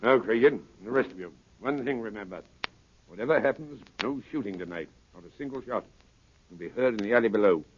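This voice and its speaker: An actor playing a cold crime mastermind in 1930s radio style, measured, authoritative and menacingly polite. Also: male voice, lowish voice, animated, natural voice. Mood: commanding. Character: cold, commanding male crime mastermind, a professor. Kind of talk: 1930s radio drama acting. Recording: noisy, muffled 1930s radio broadcast.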